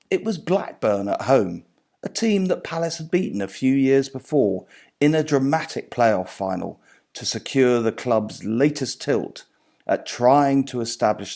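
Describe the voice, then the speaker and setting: Mature male author for a measured nonfiction reading; mid-pitched, noticeably creaky delivery. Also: a little mellow. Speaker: author and sports journalist reading own book. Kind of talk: scripted book reading.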